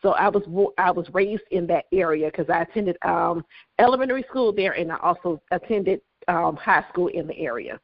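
A woman's voice: on the low side, normal speed, no room sound, dull audio. A woman from Miami, chatting as a guest in an interview with frequent fillers and restarts. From Miami, Florida. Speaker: guest, real estate broker. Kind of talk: casual interview chat.

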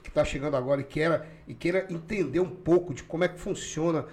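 A man hosts a relaxed podcast chat, his voice sitting a little above the usual male range. He talks in a loose, repetitive way and often echoes what his guest has just said. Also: fairly high pitch, fast. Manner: chatty, repeats and echoes the guest's answers. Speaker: podcast host. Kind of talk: casual podcast interview.

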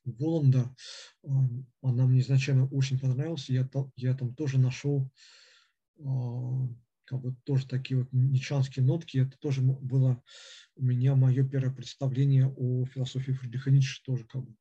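A man giving an unscripted philosophy lecture, halting and full of hesitations and fillers, on a dull lo-fi recording. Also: medium pitch, flat delivery, mellow, slightly rough.

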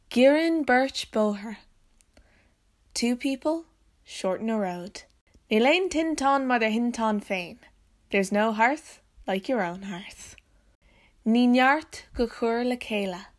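A woman storyteller with an Irish accent reading short scripted sayings in deliberate, measured phrases. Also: on the high side.

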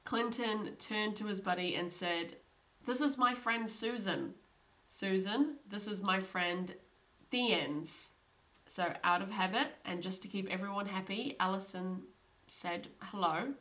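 A woman's voice: mid-range voice, unhurried, a bit bright. A young woman hosting a podcast, telling a true crime story in a chatty, casual way with frequent laughs. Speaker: podcast host.

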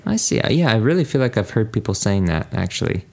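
A man with a low voice hosts a language podcast. He speaks in a casual, conversational way, as if chatting through a lesson with a co-host and listeners. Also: very animated, quick pace, creaky, a little mellow.

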